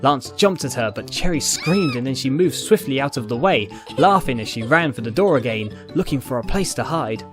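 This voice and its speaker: Man narrating a story over soft music. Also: medium pitch, lively intonation, moderate pace, few pauses. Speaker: narrator, fan fiction reader. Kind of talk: dramatic scripted storytelling.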